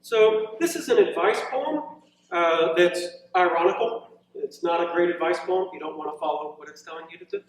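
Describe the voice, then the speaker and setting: Man giving a poetry reading, very echoey room. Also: high-pitched. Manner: measured verse delivery, informal hesitant asides. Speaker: poet reading own work. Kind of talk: live poetry reading with casual asides. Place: indoor college reading event, echoing room. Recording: very echoey room, distant mic.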